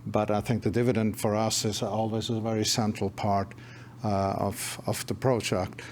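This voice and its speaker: A man's voice: fairly low pitch, flat delivery, moderate pace, darkish tone, a little hiss or hum. A man with a voice giving earnest closing remarks at a company investor presentation, restarting his phrases often. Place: conference room.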